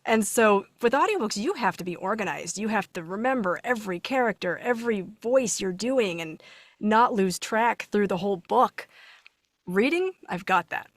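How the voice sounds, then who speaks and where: A woman's voice: medium pitch, expressive, moderate pace, dry recording. A woman co-hosting a podcast, chatting casually in a self-deprecating way. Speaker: podcast co-host, teacher. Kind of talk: casual chat.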